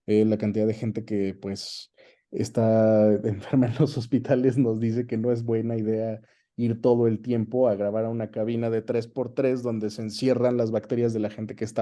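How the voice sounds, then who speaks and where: A man's voice: medium pitch, dark tone. A Mexican man being interviewed, explaining things in a casual, conversational way and often checking in with short tag questions. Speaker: interview guest, voice actor and dubbing director.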